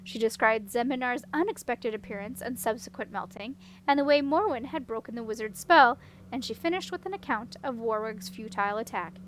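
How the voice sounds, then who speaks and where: A woman's voice: on the high side, animated, brisk, a bit bright, slight background noise, poor audio. A woman reading a storybook aloud as scripted audiobook narration. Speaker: audiobook reader.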